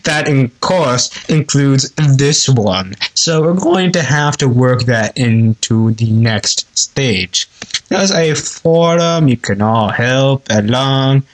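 Slow-talking man leaving a rambling message. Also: medium pitch, low-quality recording. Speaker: listener leaving a voicemail.

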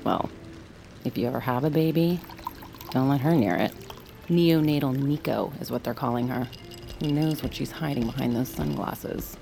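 A sly gossipy psychiatrist. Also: female voice, very deep voice, normal speed, vocal fry, dark tone, faint music behind. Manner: teasing, gossipy. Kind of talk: audio drama acting.

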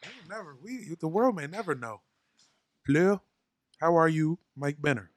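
A man's voice: on the high side, animated, a little slow. A man co-hosting a podcast in casual comedic banter, riffing playfully. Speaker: podcast co-host.

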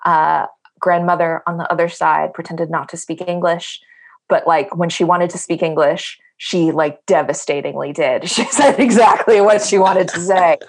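Low-voiced hesitant New woman. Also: steady tone, moderate pace. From New York. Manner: hesitant, many fillers, laughs often. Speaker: playwright. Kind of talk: podcast interview, thoughtful.

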